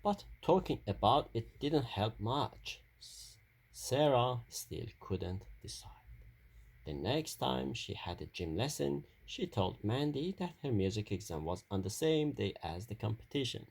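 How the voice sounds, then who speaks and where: A man reading a children's story aloud in a halting delivery, stumbling and repeating phrases now and then. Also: mid-range voice, big pitch swings, gravelly, a little mellow, breathy edge.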